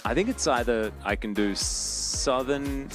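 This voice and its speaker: A man's voice: medium pitch, measured pace, over music. A young Australian man casting esports, chatting and joking in an easygoing, self-deprecating way. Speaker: esports caster. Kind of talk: casual broadcast banter.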